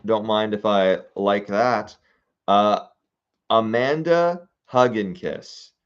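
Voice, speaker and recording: Low-voiced man musing through viewer comments. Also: dull audio. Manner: musing aloud, reading comments, halting with fillers. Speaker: streamer reading viewer suggestions. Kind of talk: casual livestream chat.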